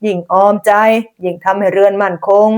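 A woman narrator acts a wise old monk who heads a village temple in a folk tale. He speaks calmly and with measure, as one handing down a moral. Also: medium pitch, a little fast, natural voice. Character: calm, wise old village abbot, a man.

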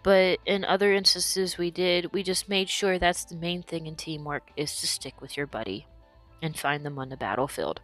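Woman, lower voice, hoarse from illness, casual gameplay commentary that explains each move; faint music under. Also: normal speed, fairly bright tone. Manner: explanatory, talks through her decisions. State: losing her voice from illness.

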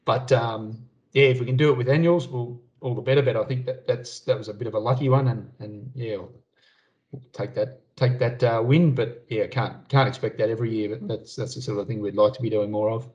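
Male farmer panellist, medium pitch, hesitant casual delivery, dull lo-fi call sound. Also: steady tone, brisk, darkish tone. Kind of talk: casual question-and-answer talk. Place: indoors on a video call. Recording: dull video-call audio.

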